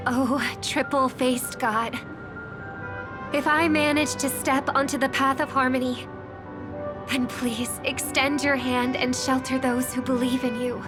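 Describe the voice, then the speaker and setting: A woman's voice: high-pitched, fairly even intonation, measured pace. An actress playing a devout, hopeful young woman singer, delivering a lyrical, prayer-like game cutscene monologue over score music. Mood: solemn. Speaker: game character.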